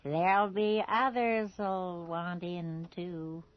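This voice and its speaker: An actress playing a calculating small-town conspirator in a radio drama, measured and conspiratorial. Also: female voice, lowish voice, fairly even intonation. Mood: sly, calculating. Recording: muffled old radio drama recording.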